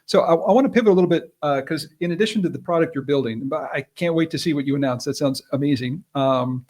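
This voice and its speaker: A man's voice: on the high side, quick pace, darkish tone. A man hosting a live interview session in an informal, chatty way. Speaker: interview host.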